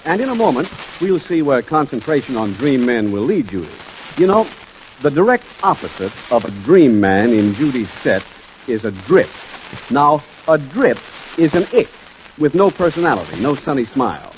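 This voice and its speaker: A man's voice: medium pitch, sing-song, normal speed, rough recording. A man announcing a commercial in 1940s radio style, upbeat and emphatic, on an old hissy recording.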